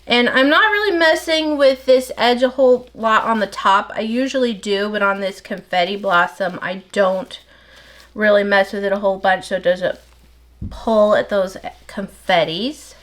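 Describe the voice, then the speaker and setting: A middle-aged woman soap maker with a slightly nasal voice, chatting casually and rambling as she works, talking fast without pausing for breath. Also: medium pitch, a bit bright. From United States. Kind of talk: casual chat while working.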